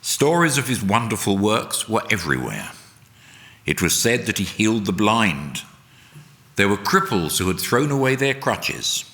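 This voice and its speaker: A man reading a solemn, dramatic narration in a deliberate, emphatic oratorical way. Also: lowish voice, sing-song, sharp tone. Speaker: reader at a church service. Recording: long hall reverb.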